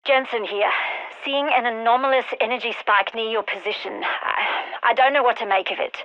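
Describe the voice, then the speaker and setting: An actress playing a determined, guarded research scientist in a video game, with an earnest, clipped delivery. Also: female voice, fairly high pitch, steady tone, moderate pace, dry recording, dull audio, close to an everyday voice. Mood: focused. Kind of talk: scripted game character dialogue.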